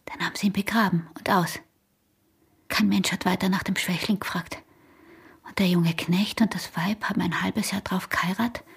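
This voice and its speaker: An actress playing a scheming, seductive peasant wife in a radio drama, with a husky, breathy voice and an Austrian rural accent. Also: female voice, mid-range voice. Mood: hushed, sinister insinuation. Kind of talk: intense radio drama acting in rural dialect.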